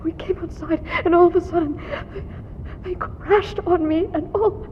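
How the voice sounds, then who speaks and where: An actress playing a frightened, freezing survivor in an audio drama, pleading and shaken. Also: female voice, steady tone, a little slow. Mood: panicked, pleading. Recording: muffled, long reverb, background rumble.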